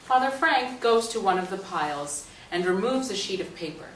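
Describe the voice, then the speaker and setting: A woman's voice: mid-range voice, lively intonation, normal speed. A woman reading scripted stage directions as the narrator of a play reading. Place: echoey indoor stage or hall. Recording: echoey room, distant mic, faint noise.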